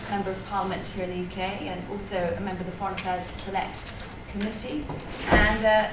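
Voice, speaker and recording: A woman moderates a conference in a big echoey hall. She sounds articulate and brisk, with light asides. She is picked up from some distance with noticeable background noise. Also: mid-range voice, fairly even intonation.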